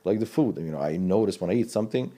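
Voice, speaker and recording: A man from Serbia speaks English with a Serbian accent in a very low, deep register. He talks loosely and casually, restarting sentences and trailing off as he goes. It sounds like a relaxed interview chat. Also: fast talker. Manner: loose, rambling talk with restarts and broken phrasing.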